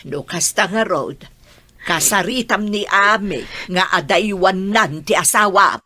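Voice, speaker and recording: A woman playing an older, protective, indignant mother in a radio drama, with a raspy voice and an Ilocano accent, advising emphatically. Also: mid-range voice, measured pace, bright, forceful tone.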